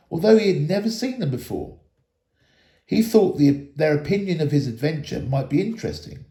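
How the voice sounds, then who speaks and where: A man giving an animated, expressive reading of a children's fantasy story. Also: fairly high pitch. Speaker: storybook reader, school staff member. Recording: echoey room.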